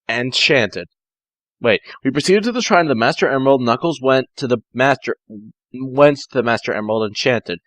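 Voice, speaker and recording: A man's voice: medium pitch, a bit bright, dry recording. A man reading a story aloud informally, theatrical and often stumbling or correcting himself. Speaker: amateur fan fiction reader.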